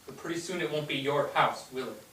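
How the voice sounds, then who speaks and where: A man's voice: fairly high pitch, fairly quick, sharp tone. A man on stage playing a hurt, defiant eighteen-year-old son in a family drama. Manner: respectful, then bitter and protesting. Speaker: stage actor. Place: indoor theatre stage, recorded from afar. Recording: roomy theatre, distant mic, hissy background.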